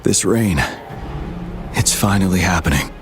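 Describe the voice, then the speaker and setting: Grave, low-voiced general. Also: male voice, over music. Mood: brooding. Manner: measured, commanding, grave. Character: stern, composed male army general. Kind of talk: video game voice acting.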